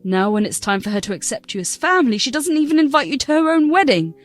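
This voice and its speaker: A middle-aged father, stern and protective, scolds his grown daughter, with a woman reading his part. His voice sits fairly high, and faint music runs beneath it. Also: expressive, quick pace. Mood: troubled.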